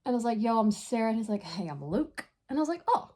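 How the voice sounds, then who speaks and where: High-voiced young New Zealand woman, chatty. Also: big pitch swings, fast talker, mellow. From New Zealand, from Dunedin. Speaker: vlogger. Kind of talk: casual chatty storytelling to camera.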